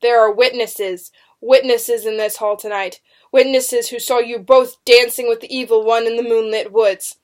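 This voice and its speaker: A woman reader puts on a stern male judge from a witch-trial storybook. He is loud and forceful, with a harsh, sneering, accusing manner and an air of total command. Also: a little high, fairly even intonation, normal speed, hardly any pauses, close to an everyday voice. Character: booming, sneering witch-trial judge, tall dark-robed man. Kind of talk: dramatic storybook reading.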